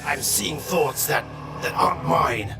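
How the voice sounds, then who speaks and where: A man's voice: on the high side, animated, slow. A man playing a tormented, menacing robot warlord in a radio drama, with strained, dramatic declarations, heard from a distance under music. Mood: confused, menacing. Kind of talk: animated-series radio drama acting.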